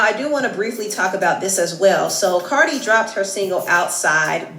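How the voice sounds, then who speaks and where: A woman's voice: medium pitch, fairly bright tone. Woman celebrity gossip presenter; dramatic, emphatic, scripted; roomy echo over faint music.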